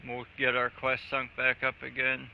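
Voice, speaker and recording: A man's voice: mid-range voice, completely flat delivery, moderate pace, gravelly, a little hiss or hum. A man chatting casually with a co-player as he streams a live game. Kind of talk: casual live gaming chat. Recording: dull lo-fi mic, faint music.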